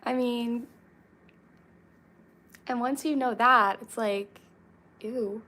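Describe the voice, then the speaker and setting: A young woman chatting casually with her viewers, relaxed and reading comments aloud. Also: fairly high pitch, extremely slow. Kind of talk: casual chat with live chat.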